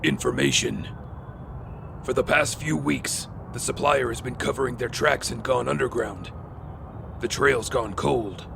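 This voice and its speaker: A man playing a grim, self-assured hooded vigilante in an audio drama, terse and stern with a gravelly voice. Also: mid-range voice, very animated, unhurried, noisy background, exaggerated voice.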